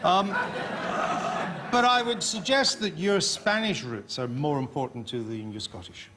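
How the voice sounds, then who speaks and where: A man's voice: on the high side, measured pace, bright, forceful tone, a little hiss or hum. A man hosting a studio panel interview, asking chatty and teasing questions. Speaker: TV talk show host.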